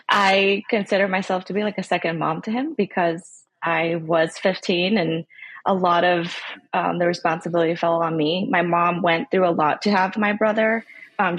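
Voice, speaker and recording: A woman in her thirties, from Southern California, talks as a guest on an interview podcast. Her voice sits a little low, and the sound is dry and close. She speaks warmly and thoughtfully, with frequent ums. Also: dry recording, low-quality recording. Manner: warm, reflective, many ums. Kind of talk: conversational interview.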